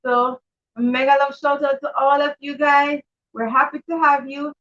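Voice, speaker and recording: A woman's voice: high voice, fairly even intonation, normal speed, fairly bright tone. A woman enthusiastically hosts a children's livestream, greeting viewers and often repeating her phrases. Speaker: host of children's live read-aloud show. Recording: dull, distant livestream microphone.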